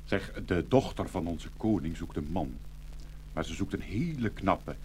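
A man playing a gruff, dismissive father in a fairy-tale audio play. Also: low-pitched, animated, measured pace, a little hiss or hum. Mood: announcing.

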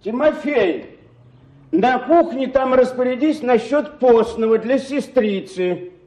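An actor playing an easygoing, placating gentleman in a classic radio drama. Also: extremely high pitch, lively intonation, slow pace, heavily acted, low-quality recording. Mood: polite. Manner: mild, hesitant, placating. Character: easygoing middle-aged gentleman, the brother.

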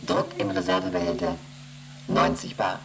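A man voicing an emotionless onboard computer in a radio play, reading out readings and rules in a flat, mechanical way. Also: low-pitched, moderate pace, gravelly, a little hiss or hum. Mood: flat reporting. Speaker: ship's computer.

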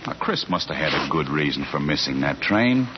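A slick genial conniver, low voice that jumps around, old muffled 40s radio. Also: male voice, very animated, a little fast, a little hiss or hum. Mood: placating. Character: smooth-talking conniving foreign correspondent, genial liar. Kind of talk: 1940s radio acting. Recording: dull 1940s radio broadcast.

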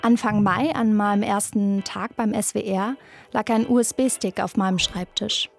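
A woman's voice: mid-range voice, steady tone, measured pace, gravelly, faint music behind. A young woman in her twenties presenting a scripted podcast narration. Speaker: presenter, radio drama intern.

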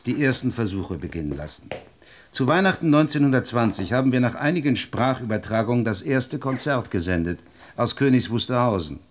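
An actor playing a determined state secretary of 1920s Berlin in a German radio drama, formal and persuasive. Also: male voice, mid-range voice, slight background noise, lightly acted.

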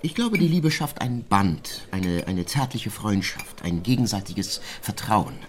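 A flirty society gentleman in a radio drama, lowish voice, romantic pauses, dishes clattering behind. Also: big pitch swings, a little hiss or hum. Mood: earnest, romantic. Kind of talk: German radio play acting.

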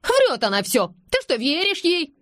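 A woman's voice: high-pitched, wildly swooping pitch, unhurried, a bit bright, no echo, clean studio sound, pitched above the performer's own voice, heavily acted. A woman voicing a brash, tactless village gossip, blurting and indignant, in an audiobook story. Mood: indignant, spiteful.

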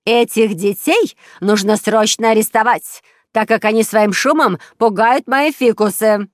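Woman voicing a loud, furious, fussy lady neighbour. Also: fairly high pitch, unhurried, no room sound, studio quality, voice pushed up, lightly acted. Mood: indignant, demanding. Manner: loud, indignant, demanding. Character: furious shrill older female neighbour, fussy and indignant. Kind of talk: children's audio storybook character voice.

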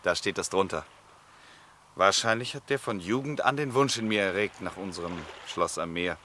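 Breezy count in a radio drama, lowish bright voice, hearty, sure of himself. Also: male voice, normal speed. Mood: musing, declaiming. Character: breezy, self-assured count, middle-aged lord of the castle. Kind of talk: German radio play acting.

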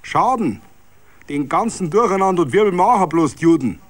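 A self-assured zealot at a Bavarian tavern table, voiced by a man reading all the parts. He argues stubbornly in colloquial dialect, his voice pushed up high and swinging with conviction, in radio drama style. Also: expressive, normal speed. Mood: insistent.

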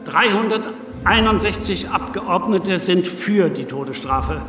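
An actor playing an assembly president in a radio drama, making a formal, deliberate proclamation. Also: male voice, high-pitched, expressive. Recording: distant echoing hall, music behind.

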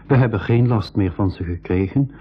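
A man's voice: on the low side, normal speed, slightly rough. An actor playing a young brother who tells his adventure in a radio play. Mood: measured storytelling. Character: young brother adventurer, excitable, telling his own story. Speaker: actor and narrator in a school radio drama. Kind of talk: Dutch-language 1980s radio play acting and storytelling. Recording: dull old radio recording.

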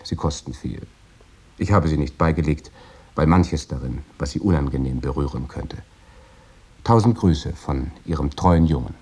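Deep-voiced, husky young poet. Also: male voice, dark tone, exaggerated voice. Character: affectionate, chatty young literary man writing to motherly friend. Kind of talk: dramatic reading of 19th-century letters.

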